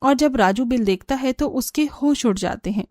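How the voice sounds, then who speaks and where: A woman's voice: fairly high pitch, moderate pace, a little mellow, slight background noise. A woman reading scripted narration for a cartoon story.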